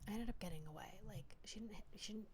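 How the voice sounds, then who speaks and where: A young woman from the Southern United States telling a personal story in a soft, hesitant whisper, full of "like" fillers. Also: mid-range voice, quick pace, very noisy. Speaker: vlogger.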